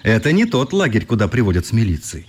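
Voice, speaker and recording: A man's voice: on the low side, very animated, fast talker, slightly rough. An actor playing a politely defiant, ironic male newspaper correspondent in a radio play, speaking in courteous, articulate phrases. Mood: wry, polite. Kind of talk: radio drama acting.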